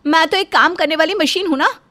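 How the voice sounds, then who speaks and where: A woman's voice: very high-pitched, brisk, pushed and bright, voice pushed up. A woman voicing a fed-up, demanding young housewife who whines and complains in a cartoon story. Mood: bitter, grumbling. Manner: whining, complaining. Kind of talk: Hindi cartoon story acting.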